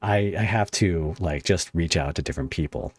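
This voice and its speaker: Low-voiced man hosting a casual interview. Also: normal speed, breathy edge, no room sound. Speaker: podcast host, interviewer.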